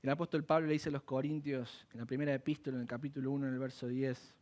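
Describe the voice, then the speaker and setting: A man preaching a sermon in church, animated and asking rhetorical questions. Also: medium pitch, fast. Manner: animated, acts out quoted voices and asks rhetorical questions. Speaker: pastor.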